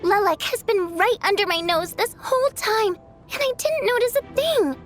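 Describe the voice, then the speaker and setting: An actress voicing an excitable, gossipy young woman who talks in a chatty way. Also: extremely high pitch, moderate pace, soft background music. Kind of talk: video game voice acting.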